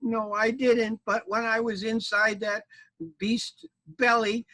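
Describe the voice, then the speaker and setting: A man voicing a talkative, bossy mother armadillo in a storybook tale, with high, squeaky, excited chatter. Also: takes it slowly, heavily acted, low-quality recording. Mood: flustered.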